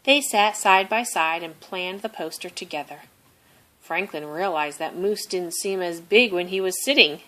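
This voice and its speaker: A woman reading a children's story aloud expressively, deliberately stressing phrases. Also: on the low side, a bit bright. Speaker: storybook reader.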